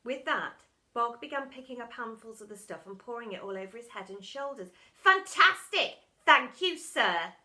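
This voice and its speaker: A woman's voice: on the high side, a little fast, few pauses, sharp tone. A woman narrating a children's storybook expressively and theatrically, as a primary school storytime reader. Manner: dramatic, theatrical.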